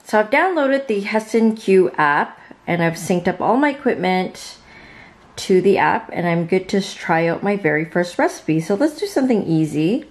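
A woman hosting a cooking channel, casually demonstrating a gadget in her home kitchen, chatty and relaxed with small fillers. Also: mid-range voice, normal speed. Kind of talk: casual product demo.

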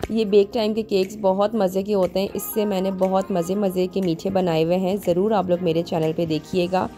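A woman, giving an upbeat, chatty walkthrough of store deals as a vlogger. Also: mellow. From Pakistan. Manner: chatty, upbeat sales pitch. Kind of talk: grocery store walkthrough narration.

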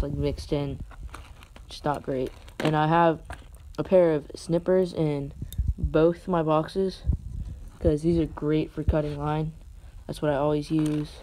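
A man casually showing his fishing tackle, hesitant and rambling, with unsure asides, recorded on a phone in a carpeted room at home. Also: fairly high pitch. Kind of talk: casual unscripted show-and-tell. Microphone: phone camera held over the gear. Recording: phone recording with clear background noise.

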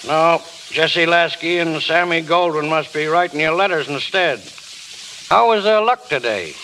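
Brash, wisecracking American desk clerk. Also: male voice, a little high, a little slow, pushed and bright, low-quality recording. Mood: dry, wisecracking. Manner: curt, sardonic. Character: brash wisecracking male rooming-house clerk, cynical. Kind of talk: 1930s radio acting. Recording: hissy old radio recording.

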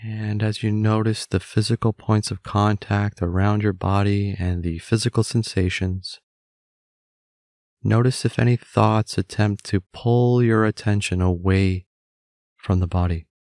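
A man calmly leading a scripted guided meditation in a measured, gently instructive manner. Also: fairly low pitch, dry recording, clean studio sound.

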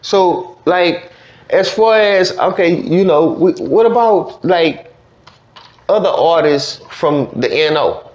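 A man's voice: high-pitched, lively intonation, slow, a little mellow. A man with a Southern US accent hosting a casual interview in loose, slangy talk full of verbal tags. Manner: slangy, frequent "you know what I'm saying". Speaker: podcast host interviewing. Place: indoor studio desk. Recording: dull, lo-fi studio recording.

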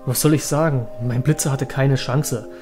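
A man's voice: medium pitch, steady tone, music in the background, natural voice. A man playing a haunted young trainer, recounting his story in a brooding, dramatic way for a horror audio drama. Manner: dramatic, halting at moments, brooding. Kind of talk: German horror audio drama narration and acting.

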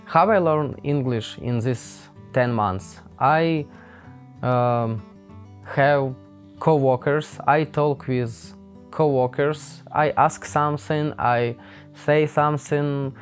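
A young man from Ukraine with a Ukrainian accent, speaking unscripted to camera in a halting, hesitant way, with many pauses and fillers. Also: medium pitch. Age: young adult. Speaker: vlogger. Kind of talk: unscripted casual talk to camera. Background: faint guitar music.

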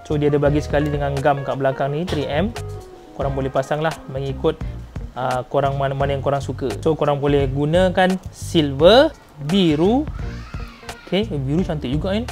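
Higher-pitched Malaysian man vlogging over music. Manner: informal, enthusiastic presenter with slang and catchphrases. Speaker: motovlog host. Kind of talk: casual product unboxing vlog. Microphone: clip-on lapel mic.

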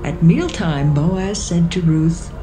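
A woman's voice: fairly low pitch, slow pace, background noise. A woman narrating a scripted, dramatised Bible story. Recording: music behind the voice.

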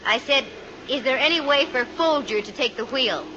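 A woman's voice: high-pitched, natural voice. Actress as wry lady detective, noisy old radio. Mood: accusing. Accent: American. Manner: dry, wry, brisk. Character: shrewd, wry, gutsy female private investigator. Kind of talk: 1950s radio detective acting. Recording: muffled, noisy 1950 radio recording.

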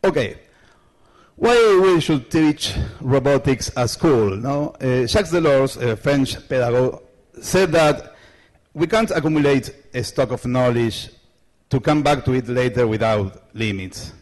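Middle-aged argentinian guy giving a talk, halting english with lots of uhs, really slow, handheld mic in a big hall. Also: mid-range voice, animated. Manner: halting non-native English with many fillers and restarts. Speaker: conference presenter. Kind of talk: conference talk. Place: large lecture hall stage. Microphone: handheld microphone.

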